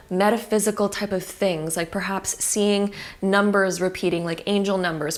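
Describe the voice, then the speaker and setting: A woman giving a pick-a-card tarot reading to her viewers, soft and affirming, her voice a little cracked from having just woken up. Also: medium pitch. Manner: soft, affirming and spiritual, full of fillers. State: voice cracking after just waking.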